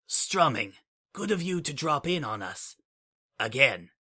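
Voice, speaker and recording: A man's voice: medium pitch, big pitch swings, a little slow, pushed and bright, husky, heavily acted. A man voicing a brash, smug female pony spy in a fan audiobook reading, speaking in a flippant, mocking way.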